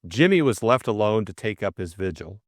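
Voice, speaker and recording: A man's voice: on the low side, very animated, fairly quick, no room sound, clean studio sound. A man narrating a novel as a scripted audiobook, reading expressively.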